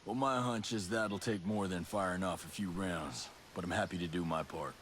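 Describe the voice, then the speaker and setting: A man playing a gruff, confident special forces soldier in a video game cutscene, speaking in a terse, understated military manner. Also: deep voice, normal speed, fairly bright tone, a little hiss or hum. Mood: calm, wry.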